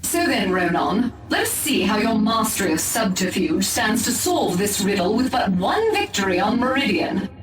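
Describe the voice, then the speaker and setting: A woman's voice: mid-range voice, sing-song, moderate pace, hardly any pauses, lightly acted. An actress playing a disdainful female elf warrior in a video game, speaking in a clipped, imperious, formal way. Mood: cool, appraising. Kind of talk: scripted video game acting. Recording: echoey, distant, music behind.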